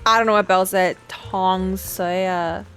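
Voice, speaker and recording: A woman's voice: medium pitch, fairly even intonation, unhurried, fairly bright tone, faint music behind. A woman streaming her casual, slangy and playful reactions to a game, sometimes reading its text aloud.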